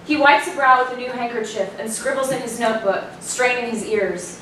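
A woman's voice: a little high, brisk, a bit bright. A woman reading stage directions aloud as scripted narration for a staged play reading. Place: small indoor venue, before a seated audience. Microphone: far camera. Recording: very echoey, distant, noisy room recording.

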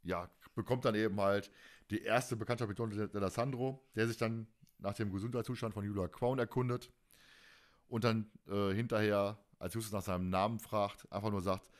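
A man in his forties from Germany chatting casually as a podcast host, in an animated, rambling, colloquial way. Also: lowish voice, normal speed. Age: 40s. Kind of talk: casual chat.

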